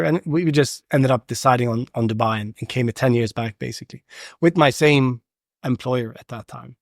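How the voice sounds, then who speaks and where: This is a man from Sweden in casual interview conversation. He builds his sentences piece by piece, pausing and repeating words as he goes. His voice sits at a middling pitch, and the studio sound is very dry and close. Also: dry recording. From Stockholm, Sweden. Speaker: podcast guest, agency founder. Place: podcast studio.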